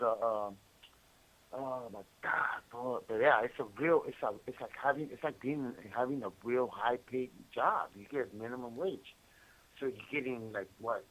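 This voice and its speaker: A man chats casually over the phone with a bright, forceful tone. His pitch sits in the middle, and he speaks in slangy bursts, often repeating himself. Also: rough recording. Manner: slangy, repetitive, talks in bursts. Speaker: incarcerated caller. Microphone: phone call.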